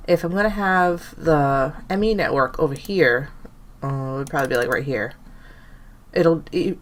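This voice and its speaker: A young woman with a low voice talks through her game in a loose, rambling way, stopping to correct herself as she goes. Her nose is blocked from allergies, which gives every word a stuffy, nasal sound. Keyboard typing can be heard behind her. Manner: rambling, self-correcting, thinking aloud. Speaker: gaming channel host. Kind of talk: casual gameplay commentary.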